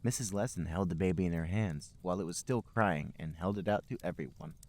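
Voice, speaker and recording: A man's voice: low voice, fairly quick, a little mellow. A man hosting a podcast, reading fan fiction aloud for comedy. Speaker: podcast host and reader.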